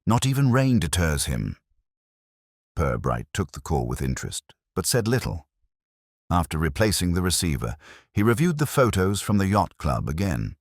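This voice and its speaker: A man with an extremely low voice narrates a scripted mystery. His pitch moves expressively through the sentences, and the recording is dry, with little room sound. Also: very animated, normal speed, no echo. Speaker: audiobook narrator.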